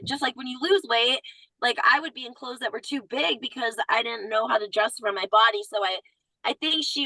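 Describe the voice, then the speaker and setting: Bright, chatty woman with strong opinions. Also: on the high side, no room sound, rough recording. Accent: Southern US, surfaces at times. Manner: chatty, blunt opinions, lots of "like". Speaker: guest commentator. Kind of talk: casual chat.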